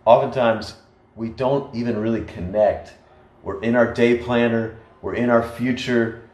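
An American man in casual conversation, telling stories in an animated way with frequent restarts. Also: medium pitch, measured pace. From United States. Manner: storytelling, animated, many restarts. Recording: echoey room.

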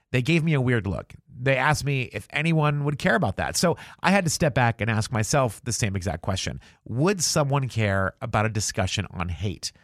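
Earnest male podcast host reading an intro. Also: mid-range voice. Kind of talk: scripted episode introduction.

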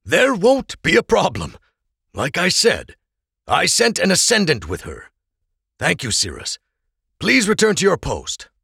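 A weary, protective rebel-leader father speaks earnestly as a man reads him. His voice is gravelly and sits higher than the narration, with a lively swing in pitch. It comes through dry and close for a storybook reading. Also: measured pace, pauses often, dry recording, full character voice.

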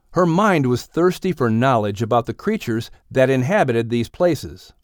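Male narrator for children's audiobook, expressive storyteller, lively melodic range, close dry sound. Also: mid-range voice, no echo.

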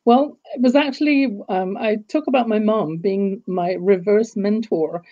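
A woman from England with an English accent, a guest expert telling her story in an interview, measured and reflective. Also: mid-range voice, warm tone, muffled recording. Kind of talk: interview answers.

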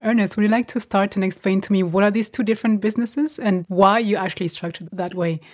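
A woman's voice: mid-range voice, steady tone, fast. A woman hosting a podcast, presenting in a composed scripted way and putting questions to guests. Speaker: podcast host.

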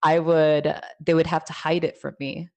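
A woman's voice: sits low, little pitch movement, moderate pace, a little mellow. A young adult woman from California, a life coach guest on a podcast, telling her story casually with frequent laughs and fillers. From California, USA. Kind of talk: casual interview conversation.

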